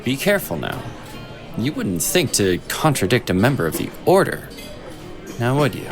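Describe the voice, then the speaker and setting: An actor playing a wry, smooth-talking mentor from a magical order in a fantasy audio drama. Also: male voice, medium pitch, very animated, normal speed. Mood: wry, assured. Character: glib male member of a magical order, mischievous mentor. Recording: music under the dialogue.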